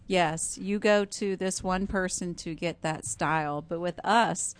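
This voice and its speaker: Woman with a fairly low voice, conversational. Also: measured pace. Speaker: designer at a studio, guest. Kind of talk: conversational explanation.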